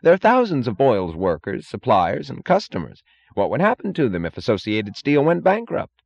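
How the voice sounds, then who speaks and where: A man's voice: on the high side, huge pitch swings, moderate pace, dull audio, pitched above the performer's own voice. A man voicing a timid, appeasing official with a pleading manner.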